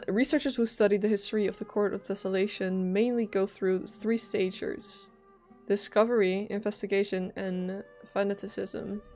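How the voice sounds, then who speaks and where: A woman streams a game in a casual, reactive style, switching between commentary and reading aloud. Her voice is mid-pitched with a lingering cough, and game music plays behind it. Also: a little mellow. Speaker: livestreamer.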